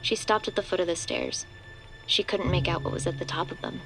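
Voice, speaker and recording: A woman's voice: lowish voice, fairly even intonation, a little fast, natural voice, rough recording. A woman reading scripted narration for a horror audio drama. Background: background music.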